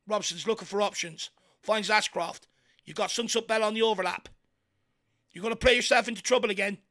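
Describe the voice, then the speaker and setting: An Irish man hosting a fan watchalong, giving excitable partisan live commentary on a football match with a croaky, worn voice. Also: sits high. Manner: excitable partisan, shouts encouragement at players. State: voice going croaky from hours of talking.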